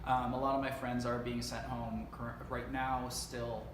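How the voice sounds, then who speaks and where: A young man from Canada, an actor, talking about his life and work in a casual interview, earnest and reflective, often pausing with "um" and restarting. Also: medium pitch, little pitch movement. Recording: echoey room with noise behind.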